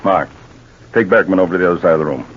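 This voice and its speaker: An actor playing a firm, methodical counterespionage agent, grave and deliberate, in 1940s radio drama. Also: male voice, sits low, slight background noise, close to an everyday voice. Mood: coolly in command. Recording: dull 1940s radio recording.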